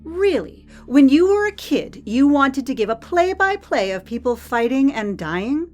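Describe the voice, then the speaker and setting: A woman's voice: sits high, big pitch swings, unhurried. A woman playing a guarded, weary arena worker in an audio drama, blunt and dry as she presses for answers. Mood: skeptical, pressing. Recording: music under the voice.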